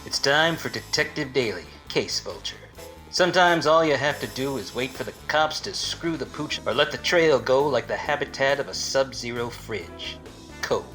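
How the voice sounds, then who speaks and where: A man playing a wisecracking, weary, obtuse private detective in a deadpan parody of 1940s radio drama. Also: medium pitch, normal speed, soft background music. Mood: dramatic, hardboiled. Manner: deadpan, hardboiled monologue. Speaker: lead actor and narrator. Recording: faint background noise.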